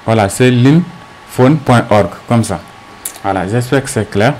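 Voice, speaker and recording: Halting man giving a tutorial. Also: mid-range voice, darkish tone, a little hiss or hum. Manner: halting, asks himself questions and answers them. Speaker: tech tutorial instructor. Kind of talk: unscripted screencast tutorial, step-by-step explanation.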